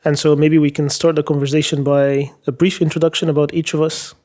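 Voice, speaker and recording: Man, from Egypt, podcast host, casual round-table talk, fairly high pitch, reflective pace. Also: level tone, darkish tone. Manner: thoughtful, with fillers and pauses.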